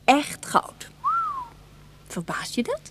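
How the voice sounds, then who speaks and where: A woman's voice: slow, background noise, natural voice. A woman playing a bold, teasing stepdaughter in a radio drama, coaxing and playful.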